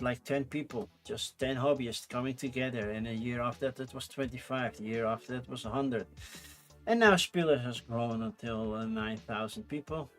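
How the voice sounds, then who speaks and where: A man's voice: mid-range voice, a bit bright. Chatty Dutch-accented man, rambling. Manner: rambling, chatty, self-correcting with frequent fillers. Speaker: channel host. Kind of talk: casual solo chat to camera.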